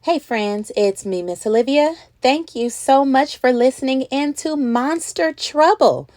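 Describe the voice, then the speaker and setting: A woman reading a children's story aloud in an expressive, animated way, acting out words as she goes. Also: high voice, a little slow, dry recording, studio quality. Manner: animated, acts out words and sound effects. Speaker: children's read-aloud host.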